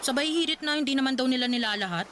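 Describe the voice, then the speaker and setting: A woman acting as a young market vendor in a radio drama. Her voice is fairly high and conversational, good-natured but ready to protest, with noticeable noise behind her. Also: rapid-fire, close to an everyday voice. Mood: confiding.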